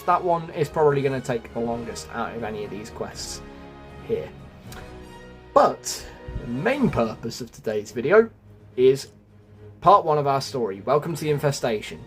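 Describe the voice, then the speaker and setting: A man's voice: medium pitch, sing-song, a little slow. A man hosting a gaming guide, explaining things step by step to viewers in a scripted narration style. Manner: explanatory, addresses viewers as folks. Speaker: gaming video host. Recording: music under the voice. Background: music.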